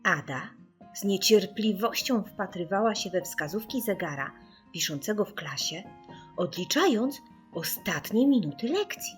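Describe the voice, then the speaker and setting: Animated woman narrating a children's story over music. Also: medium pitch, measured pace. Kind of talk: expressive scripted storytelling for children.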